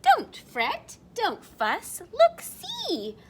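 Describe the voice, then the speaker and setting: Woman putting on a squeaky, high little mouse. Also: wildly swooping pitch, extremely slow, slight background noise, full character voice. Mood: soothing, excited. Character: small friendly, reassuring squeaky mouse.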